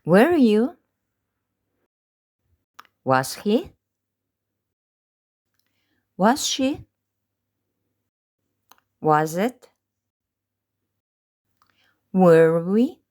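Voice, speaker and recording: A woman teaching English through slow, deliberate pronunciation drills, pausing between short phrases. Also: lowish voice, sing-song, warm tone. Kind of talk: slow drilled language lesson.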